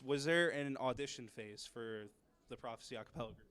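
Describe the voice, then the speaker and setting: A man's voice: medium pitch, brisk, fairly bright tone, clean tone. Chatty young male student reporter. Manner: chatty, direct to camera. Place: campus outdoors and hallway.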